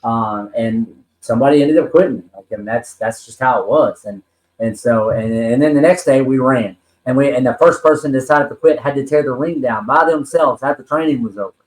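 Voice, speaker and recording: A man's voice: medium pitch, a little fast, echoey room, poor audio. A man in his forties telling his life story as a podcast guest, earnest and rambling, with many ums and restarts. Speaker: podcast guest, wrestler and talent manager. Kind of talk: personal story in a podcast interview.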